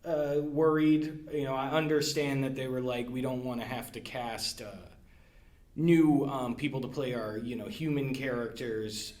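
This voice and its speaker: A man who is a podcast guest, talking casually and hesitantly, with many pauses and ums. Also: medium pitch, fairly even intonation, darkish tone. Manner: hesitant, lots of ums and hedging. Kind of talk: casual chat. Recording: echoey room.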